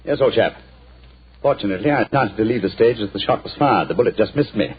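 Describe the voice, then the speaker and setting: Brisk detective, lively up-and-down voice, husky old radio sound. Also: male voice, fairly low pitch. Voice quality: crisp, clipped and authoritative. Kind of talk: 1940s radio acting. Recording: muffled old 1940s radio broadcast.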